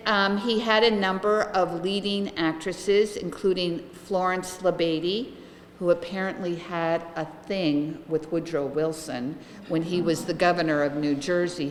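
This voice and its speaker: A middle-aged woman giving a chatty, lively history lecture to a room of listeners. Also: lowish voice, slight background noise. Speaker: guest lecturer, local historian. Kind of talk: public lecture with slides. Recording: echoey room, some reverb.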